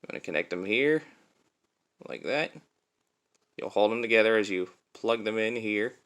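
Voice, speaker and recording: A man's voice: on the low side, moderate pace, a bit bright. A man giving a casual hands-on demonstration as a toy reviewer, explaining things step by step.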